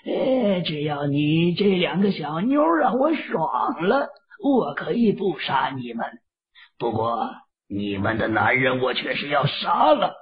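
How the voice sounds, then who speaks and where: A man voicing a lecherous, cruel middle-aged male Taoist villain who gloats with drawn-out, sneering menace in a read-aloud audio novel. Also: fairly high pitch, pitched above the performer's own voice. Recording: phone-like, lo-fi, distant sound.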